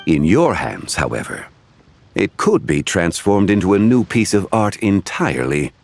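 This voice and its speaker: Casting: composed connoisseur of antiques, low register, eloquent and formal, expressive melody, video game role. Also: male voice, moderate pace, gravelly, slight background noise, full character voice. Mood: gracious, assured. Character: composed, courtly, scholarly gentleman connoisseur of antiques. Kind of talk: scripted video game dialogue.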